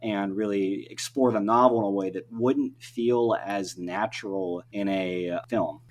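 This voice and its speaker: A man's voice: lowish voice, fairly even intonation, a little slow, darkish tone, slight background noise. A man speaking as a podcast guest and writer, explaining things in a talkative, casual conversational way.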